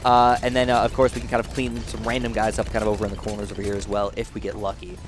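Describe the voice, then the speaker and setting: A man's voice: fairly low pitch, very fast, over music. Nasal young man narrating gameplay. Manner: rambling, excitable, thinks aloud. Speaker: gaming channel host. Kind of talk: casual gameplay commentary.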